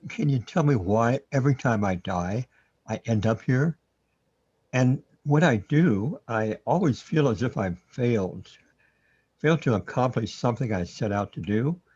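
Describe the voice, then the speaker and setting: A man's voice: medium pitch, animated, a little slow, a little mellow, slightly rough, highs cut off. A man playing a bewildered, resigned hero trapped in the afterlife in a sci-fi radio drama. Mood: regretful.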